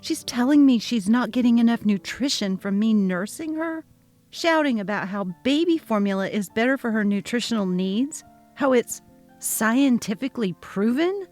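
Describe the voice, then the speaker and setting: A woman's voice: fairly high pitch, expressive, normal speed, darkish tone, soft background music, lightly acted. A young woman voicing an exhausted new mother, speaking earnestly and pleading. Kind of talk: dramatic reading of a text-message story.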